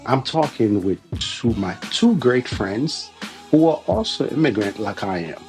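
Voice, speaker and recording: A man from Haiti with a Haitian accent, hosting a casual golf podcast interview in a lively, laughing way. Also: lowish voice, a little slow. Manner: animated, laughs easily, says amen. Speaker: podcast host. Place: country club patio. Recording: outdoor patio with music behind.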